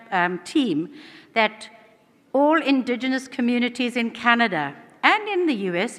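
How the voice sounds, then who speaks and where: A woman's voice: mid-range voice, a bit bright. A woman from South Africa with a South African accent, giving an earnest conference presentation in a large hall. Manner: earnest, fluent, with frequent restarts. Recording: long hall reverb.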